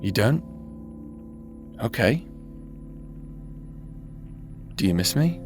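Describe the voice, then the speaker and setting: A man's voice: medium pitch, sing-song, unhurried, music in the background. A man voicing a remorseful necromancer husband who now haunts his partner's memory, speaking tenderly and teasingly in a roleplay audio drama. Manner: tender, teasing, softly spoken.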